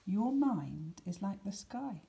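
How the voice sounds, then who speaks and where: A woman reading a children's picture book aloud, with deliberate, expressive emphasis and some hushed words. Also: medium pitch, gravelly, a little hiss or hum. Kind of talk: expressive scripted storybook narration for children.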